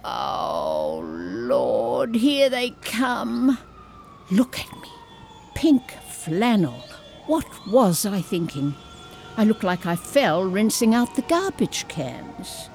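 An actress playing a sharp-tongued, meddling older woman in a comedic audio drama, wry and blunt. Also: medium pitch, sing-song, drawn-out words, vocal fry, slight background noise, exaggerated voice. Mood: wry, grumbling.

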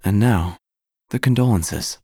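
A man playing a quirky investigator who narrates his own tale in a theatrical, deadpan, wry way. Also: lowish voice, measured pace. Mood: wry, probing. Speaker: narrator and lead actor of an audio drama. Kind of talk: scripted first-person noir-like narration. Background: music.

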